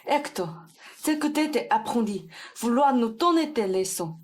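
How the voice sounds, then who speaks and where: A woman's voice: on the high side, lively intonation, a little slow. A young woman playing a pompous, theatrical older male swindler in a student radio play. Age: young adult.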